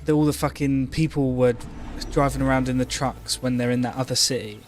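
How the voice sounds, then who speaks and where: A man's voice: mid-range voice, steady tone, a little fast, a little hiss or hum. Rambling man with a mid-range voice, streaming. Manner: rambling, sweary. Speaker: game streamer co-host. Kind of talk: casual gaming livestream commentary.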